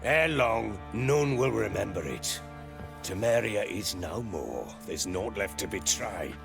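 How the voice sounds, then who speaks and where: A low, gravelly voice a gruff middle-aged warlord baron in a fantasy game. He speaks in blunt, earthy, archaic phrases that swing widely in pitch. Music plays behind him. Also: lively intonation, takes it slowly, heavily acted. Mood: bitter, defiant. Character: gruff, bitter, foul-mouthed self-made baron, middle-aged soldier. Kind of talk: dramatic video game acting.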